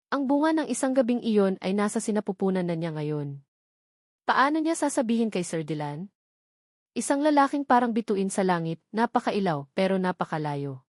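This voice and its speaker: A woman reading a scripted romantic story in a measured, dramatic way. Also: medium pitch, dry recording, clean studio sound. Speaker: narrator.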